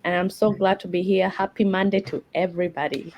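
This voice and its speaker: A woman's voice: on the low side, level tone, brisk, darkish tone, slight background noise. Hesitant Kenyan woman, lo-fi line. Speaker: panel guest, nurse and mother. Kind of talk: casual panel talk. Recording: dull lo-fi sound.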